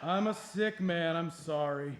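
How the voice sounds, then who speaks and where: A man's voice: a little high, a little slow, close to an everyday voice. A man playing a boyish, impulsive sidekick, eager and melodramatic, in a live comedy radio play. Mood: excitable. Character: boyish, impulsive sidekick with a gift-shaking compulsion. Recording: live stage, faint noise.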